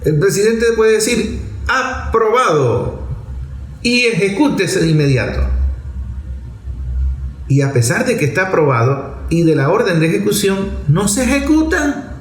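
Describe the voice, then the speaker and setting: Venezuelan man, higher-pitched voice, roomy echo. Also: sing-song, a little slow, long pauses. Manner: didactic, repeats phrases for emphasis, asks rhetorical questions. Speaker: political commentator addressing an online audience. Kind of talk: extemporaneous political commentary. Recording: roomy echo with faint background noise.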